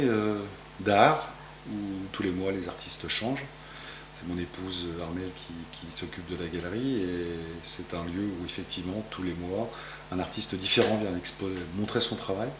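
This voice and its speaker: A man's voice: sits low, roomy sound, background noise. A man in his fifties from France, a painter answering interview questions in his gallery, rambling with many fillers and restarts. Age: 50s. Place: art gallery, indoors.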